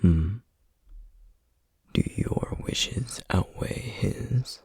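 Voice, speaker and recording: A man playing a stern, duty-bound flame demon in an ASMR roleplay, speaking in a breathy, husky half-whisper with grave, measured formality. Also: lowish voice, a little mellow, dry recording, studio quality, heavily acted. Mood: stern, challenging. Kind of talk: ASMR roleplay, scripted acting.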